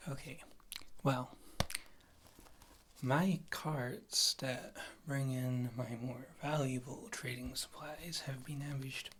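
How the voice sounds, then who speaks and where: A woman playing a wry witch healer and former adventurer in a fantasy ASMR roleplay, speaking in a soft, breathy whisper close to the microphone. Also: a bass voice, steady tone, background noise. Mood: confiding, businesslike. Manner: rambling, wry, gentle, unhurried.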